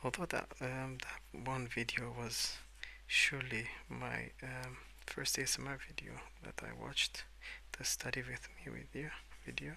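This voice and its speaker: A young adult man softly rambling in a breathy half-whisper for ASMR, relaxed and unhurried, close to the microphone. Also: medium pitch, a little hiss or hum, rough recording. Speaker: ASMR video creator. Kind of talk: soft-spoken ASMR rambling while colouring.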